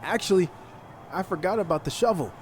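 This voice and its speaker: Cocky, higher-voiced wrestling hero. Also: male voice, animated, brisk, a little hiss or hum. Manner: taunting, confident. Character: defiant, cocky wrestling hero bent on revenge. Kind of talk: scripted wrestling promo.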